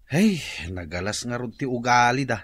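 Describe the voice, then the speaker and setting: An actor playing a concerned husband in an Ilocano radio comedy-drama, speaking earnestly and conversationally with an Ilocano accent. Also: male voice, mid-range voice, very animated, normal speed, fairly bright tone. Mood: aggrieved. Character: concerned husband, reporting gossip and urging helpfulness.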